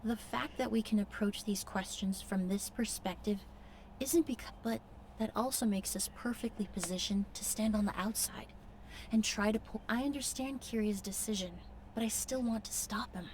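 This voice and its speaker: A woman voicing a composed, gentle and thoughtful mentor figure in a video game. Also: medium pitch, normal speed, slight background noise, natural voice. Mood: gentle, reflective.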